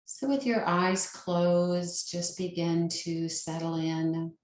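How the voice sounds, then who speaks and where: A woman leading a guided meditation as a yoga nidra teacher, with a soothing, gentle and unhurried manner. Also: lowish voice, steady tone, slight background noise. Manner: soothing, unhurried, gentle instruction with long pauses. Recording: roomy, echoing sound.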